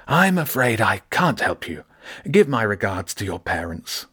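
A man voicing an elderly, genial dealer with a wheezy, husky voice and a slow, courteous, old-fashioned manner. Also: mid-range voice, very animated, a bit bright, no room sound, clean studio sound, pitched above the performer's own voice, heavily acted. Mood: cool, dismissive.

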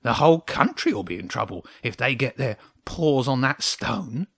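Cranky old hedgehog for a kids story, gravelly but high, huffy and mocking, super dry sound. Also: male voice, animated, normal speed, a bit bright, no echo, exaggerated voice. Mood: grim ranting. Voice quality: high-pitched, creaky. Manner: indignant, spitting, mocking, exclamatory. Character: grumpy, defensive little old male hedgehog guard, scornful and ranting. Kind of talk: children's audiobook character acting.

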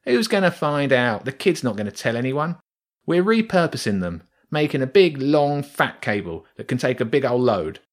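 A man's voice: mid-range voice, very animated, moderate pace, pitched above the performer's own voice, natural voice. A man voicing a greedy, pushy male rat workman in a children's storybook, with a cocky, wheedling manner.

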